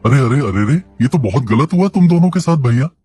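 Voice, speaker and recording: A man's voice: medium pitch, lively intonation, a little fast, darkish tone, husky, voice pushed up. A man voicing a gossipy village shepherd in a cartoon who mocks two friends. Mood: teasing. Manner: teasing, sly. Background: faint music.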